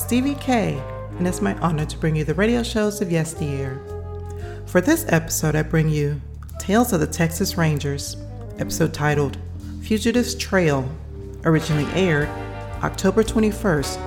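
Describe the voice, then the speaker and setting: A woman hosts a podcast with a friendly, polished scripted introduction. Her voice is very low for a woman. Music plays steadily behind her. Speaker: podcast host.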